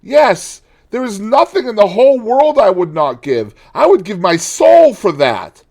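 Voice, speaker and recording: A man reading aloud and voicing an anguished, vain young man, impassioned and pleading. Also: animated. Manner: impassioned, pleading, high and drawn-out.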